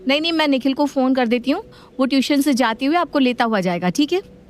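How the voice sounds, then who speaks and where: A woman playing a practical, respectful daughter-in-law in an animated family story, with an accommodating manner. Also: high-pitched, fast talker. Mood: respectful, helpful. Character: polite, practical, capable modern daughter-in-law.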